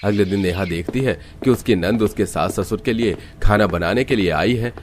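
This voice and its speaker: Low-voiced man narrating a cartoon story. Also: moderate pace, a little hiss or hum.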